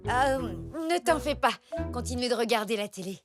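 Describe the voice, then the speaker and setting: A woman playing a gentle, slightly flustered zebra mother in a French children's cartoon, speaking hesitantly. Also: fairly high pitch, expressive, moderate pace, over music, close to an everyday voice. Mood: sheepish, worried.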